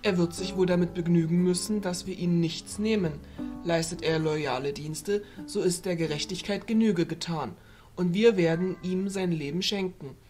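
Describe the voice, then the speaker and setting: A woman playing a regal, stern fairy who leads a council, speaking in formal, measured phrases in a fantasy audio drama. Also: lowish voice, music in the background, lightly acted. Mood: composed, stately. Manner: formal, measured, stately speech.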